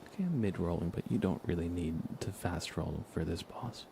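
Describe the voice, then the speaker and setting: Low, creaky-voiced man, hushed gamer chat. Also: moderate pace, background noise. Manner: hushed, often slipping into near-whisper. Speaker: livestreamer and game player. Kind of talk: soft ASMR gaming commentary, casual chat.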